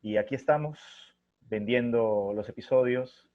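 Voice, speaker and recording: A man's voice: medium pitch, measured pace. A middle-aged man with a Peruvian Spanish accent, answering conversationally as an interview guest in a reflective, anecdotal way. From Chiclayo, Peru. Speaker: theatre artist and interview guest. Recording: dull, lo-fi audio.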